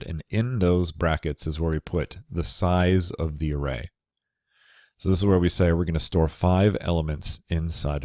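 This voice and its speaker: A man teaching a programming course over slides, sounding nasal and a bit crackly from a cold, talking in a relaxed way with many fillers. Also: sits low, no echo, studio quality. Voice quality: slightly crackly. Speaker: programming instructor. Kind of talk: recorded lecture over slides.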